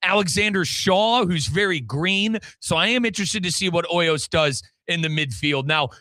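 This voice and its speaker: Man, sports show host. High, punchy bright delivery, very dry sound, putting questions to a guest. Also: dry recording. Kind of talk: animated sports talk interview.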